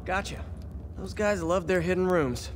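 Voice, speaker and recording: A man's voice: high-pitched, a little slow, noisy background. A man playing a young, wisecracking superhero with an American accent in a video game cutscene, wry and earnest. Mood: easygoing, curious. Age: young adult. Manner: wry, quippy.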